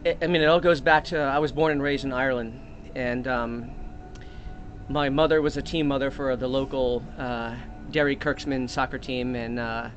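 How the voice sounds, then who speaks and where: A man's voice: medium pitch, slight background noise. A man telling an improvised comic tall tale in a rambling, mock-earnest way, often breaking off and restarting. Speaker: comedic storyteller.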